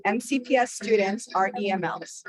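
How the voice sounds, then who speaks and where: A woman's voice: medium pitch, normal speed, crowd noise, rough recording. A woman presenting a training session over a video call, chatty and upbeat with frequent fillers. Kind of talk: casual webinar presentation.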